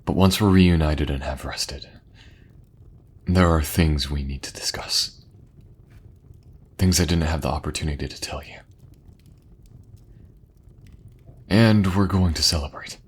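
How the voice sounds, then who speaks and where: A man's voice: extremely low pitch, measured pace, long pauses, husky, full character voice. An actor playing a remorseful, devoted king and father in a fantasy audio drama, speaking in a formal, earnest, emotional way. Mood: warm, resolute.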